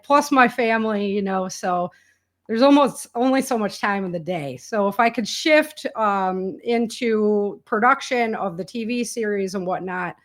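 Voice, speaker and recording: A woman with a mid-pitched voice talks as a guest in a casual interview. Her phrasing is colloquial and emphatic. She readily slips into quoting people as part of her spontaneous style. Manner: colloquial and emphatic, acts out quoted remarks. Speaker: interview guest, author and podcaster on survival prepping.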